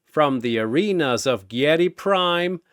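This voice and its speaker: A man voicing a grandiose ancient arena master who makes drawn-out showman's proclamations in a fantasy battle story. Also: fairly high pitch, lively intonation, fairly bright tone, dry recording, clean studio sound. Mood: booming announcement.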